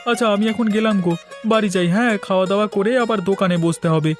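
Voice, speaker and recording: Polite cartoon village shopkeeper played by a man, unusually high male pitch, brisk, faint music underneath. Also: close to an everyday voice. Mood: polite, friendly. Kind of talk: Bengali cartoon dialogue. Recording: light music behind the voice.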